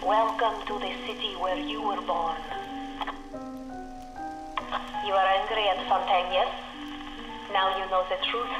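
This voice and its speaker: An actress playing a solemn, maternal scientist in a video game, speaking in a grave, measured, formal way. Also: female voice, medium pitch, steady tone, lightly acted. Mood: solemn, welcoming. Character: solemn, maternal woman scientist guiding her child. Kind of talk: video game character narration. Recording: echoey, radio-like, music behind. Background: music.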